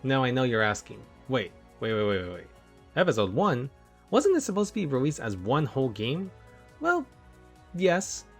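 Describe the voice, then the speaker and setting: A man's voice: medium pitch, huge pitch swings, moderate pace. A young man giving enthusiastic review commentary as a video game reviewer. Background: background music.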